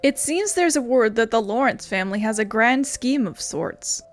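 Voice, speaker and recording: A woman's voice: a little high, expressive, normal speed, soft background music. Woman casually streaming a video game. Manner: casual, sweary asides. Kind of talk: casual gameplay commentary with dialogue read aloud.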